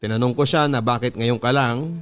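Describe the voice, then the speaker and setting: A man's voice: mid-range voice, a little fast, clean tone. A man with a Filipino accent, a radio host reading listener letters as earnest, scripted first-person storytelling. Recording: music bed under the voice. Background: background music.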